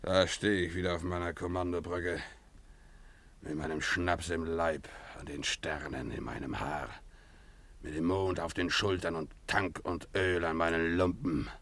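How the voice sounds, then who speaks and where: An actor playing a swaggering, rough, drunken sea captain in a radio play, with a theatrical manner. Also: male voice, low voice, fairly even intonation, measured pace, a bit bright, full character voice. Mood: boastful, brooding. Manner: theatrical, swaggering, bellowing calls. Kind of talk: German radio play acting.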